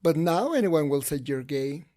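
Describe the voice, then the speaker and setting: A man's voice: on the high side, animated, normal speed, close to an everyday voice. A man voicing a candid older hairdresser and drag performer in a documentary voice-over translation. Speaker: English voice-over for an interviewee.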